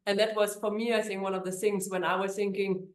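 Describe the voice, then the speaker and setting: A woman's voice: mid-range voice, level tone, fairly quick, roomy sound, slight background noise, poor audio. A woman from Germany with a German accent, an immigration attorney answering interview questions in a chatty way with many fillers and restarts.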